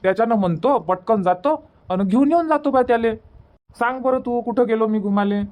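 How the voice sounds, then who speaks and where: A man's voice: very high voice, a little fast, darkish tone. Guy doing a village husband in a cartoon, varhadi marathi accent, keeps arguing and soothing, says things over again. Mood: exasperated, defensive. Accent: Varhadi Marathi from Vidarbha. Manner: colloquial, repeats himself to make his point. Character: village husband and father, placating and argumentative. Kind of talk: cartoon comedy dialogue.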